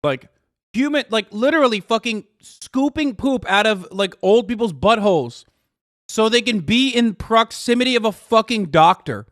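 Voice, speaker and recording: A man's voice: sits high, expressive, normal speed, fairly bright tone, no room sound, clean studio sound. A man streaming, reacting to clips with casual, exasperated, profane commentary. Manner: exasperated, sarcastic, swears a lot.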